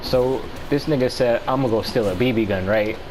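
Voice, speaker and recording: An American man chatting casually as he tells a story, with slangy, profane and halting delivery. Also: medium pitch, heavy background noise. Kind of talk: casual storytelling chat among friends.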